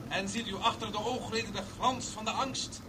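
A man's voice: high-pitched, normal speed, lightly acted. An actor in a radio drama playing a showy, pompous tour guide who declaims to his listeners. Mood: solemn, grandiose. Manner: declamatory, grandiose patter to a crowd. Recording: noisy old radio recording, distant from mic.

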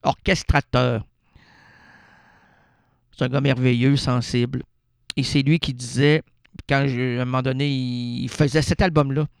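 Rambling Québécois man with a gravelly voice. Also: mid-range voice, little pitch movement, unhurried, long pauses, no echo, studio quality. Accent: Québécois French. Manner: playful, rambling, talks to himself. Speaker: radio host. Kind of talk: rambling absurd monologue.

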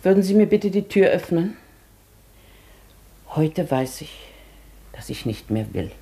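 A woman playing a dignified, wistful hotel guest in a German radio drama, with a soft, often breathy voice and a formal, measured manner. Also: on the low side, big pitch swings, heavily acted. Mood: resolute, calm. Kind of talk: German radio play acting.

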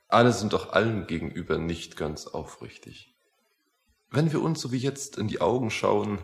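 Slow, creaky, stubborn petitioner. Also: male voice, sits low. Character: stubborn, unintimidated male petitioner, plain-spoken and philosophical. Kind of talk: German radio play acting.

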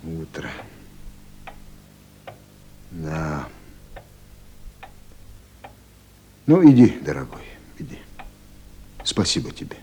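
Weary paternal official, mellow, swinging intonation, halting repeats, 1970s radio play. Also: male voice, very deep voice, sing-song, slightly rough, exaggerated voice. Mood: weary, breathy. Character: tired, fatherly senior party official in Soviet north. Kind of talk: 1970s Soviet radio drama acting.

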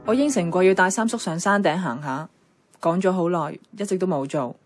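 A woman's voice: on the low side, brisk, natural voice. A woman playing a caring, nostalgic young niece who narrates and acts in a radio drama, with a Hong Kong accent. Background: music.

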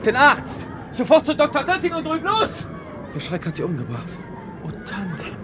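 A man's voice: extremely high pitch, huge pitch swings, moderate pace, heavily acted. A man playing an agitated nephew of an old aunt in a 1960s German radio play. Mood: alarmed. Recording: old radio play, busy fairground crowd and music.

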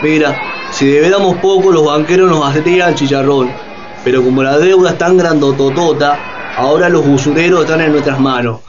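A man's voice: fairly high pitch, fairly quick, natural voice. An actor playing a slangy, gloating working-class man in the street in a radio drama. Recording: dull lo-fi, noisy crowd behind.

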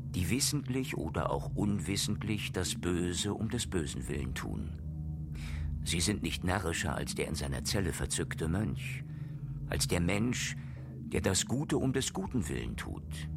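A male actor playing a grave, insistent intellectual in a literary radio play, speaking in a deliberate, declamatory way. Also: sits low, breathy edge, full character voice.

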